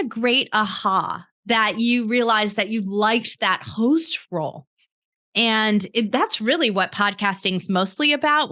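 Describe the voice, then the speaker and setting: A woman's voice: fairly high pitch, bright, forceful tone. A woman hosting a podcast interview, upbeat and encouraging, laughing easily as she asks questions. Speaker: podcast host. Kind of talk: casual interview chat.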